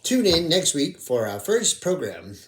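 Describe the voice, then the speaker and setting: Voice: mid-range voice, sing-song, measured pace, a little hiss or hum. An amateur puppeteer voicing a zany professor announcing wildlife programs in a puppet show. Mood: promotional, excited. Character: professor animal presenter, zany, excitable.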